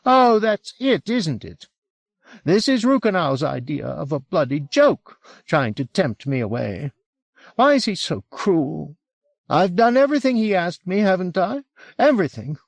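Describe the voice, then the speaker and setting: A man's voice: high-pitched, sing-song, unhurried, few pauses, darkish tone, no room sound, pitched above the performer's own voice, full character voice. A man voicing a wretched, suspicious young educated Englishman for an audiobook, agitated and pleading, with an English accent. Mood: anguished pleading. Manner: agitated, pleading, breathy protests. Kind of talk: audiobook character acting.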